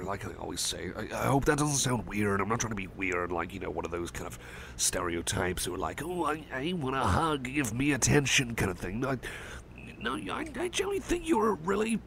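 A man voicing a gentle, caring male cyborg friend in an ASMR roleplay, talking softly and hesitantly with many fillers, sometimes dropping to a whisper. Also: mid-range voice, gravelly, slight background noise. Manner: soft, hesitant and rambling, with many fillers and restarts; drops to soft whispers at times. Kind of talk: ASMR roleplay, personal attention.

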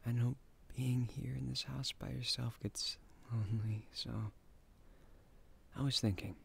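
Casting: man playing a loving, shy, nerdy young husband for ASMR roleplay; hushed, creaky, very unhurried delivery. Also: mid-range voice, fairly even intonation, a little hiss or hum. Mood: tender, reflective. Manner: soft, breathy, often half-whispered, unhurried. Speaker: voice actor in an audio roleplay. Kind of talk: intimate ASMR boyfriend roleplay.

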